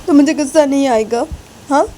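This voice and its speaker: A high, soft-toned young wife acted by a woman in an audio drama, with faint noise behind her. She is hurt and tearful, loving yet indignant, and pleads by saying things over and over. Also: brisk, natural voice, poor audio. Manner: pleading, repeats herself insistently. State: crying.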